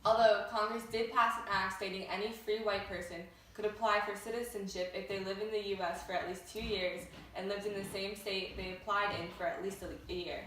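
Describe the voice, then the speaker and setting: A woman's voice: medium pitch, steady tone, brisk, few pauses, a bit bright, natural voice. Teen girl as news anchor, very echoey room. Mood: formal. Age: teenager. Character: formal female studio news anchor in a skit. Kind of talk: scripted newscast reading. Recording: very echoey room, distant mic, faint noise.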